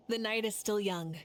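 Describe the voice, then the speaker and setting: A woman voicing a confident, warm warrior leader in a video game, speaking in a measured, assured way. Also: mid-range voice, close to an everyday voice. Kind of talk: English video game voice acting.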